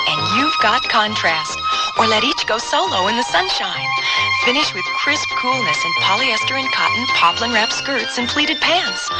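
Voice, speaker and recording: A woman's voice: fairly high pitch, poor audio. A woman announcing a scripted fashion commercial for radio in a 1970s advertising style.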